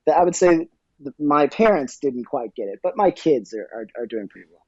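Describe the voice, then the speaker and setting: Middle aged guy chatting on a podcast, soft warm tone, stop-start talker, kinda muffled recording. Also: fairly high pitch, lively intonation, fairly quick, husky, no room sound. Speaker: podcast guest. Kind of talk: conversational interview.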